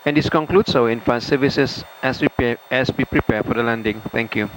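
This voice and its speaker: A man with a Malaysian accent reading formal cabin crew announcements in a halting way. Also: medium pitch. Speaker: airline cabin crew member. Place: aircraft cabin, over the PA. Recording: faintly noisy aircraft cabin announcement audio.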